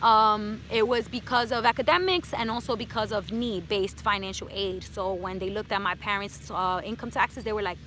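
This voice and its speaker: A woman's voice: mid-range voice, moderate pace, hardly any pauses, pushed and bright, a little hiss or hum. A woman of about thirty from New City, chatting casually in an outdoor Q&A vlog and dropping in Spanish words. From New York City. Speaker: personal finance YouTuber. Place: outdoors in hot sun.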